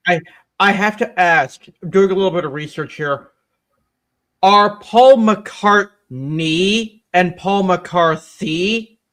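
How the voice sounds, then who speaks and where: A man's voice: sits high, slow pace, a bit bright. A man chatting casually as a guest on a livestream, repeating phrases as he goes. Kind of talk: casual chat.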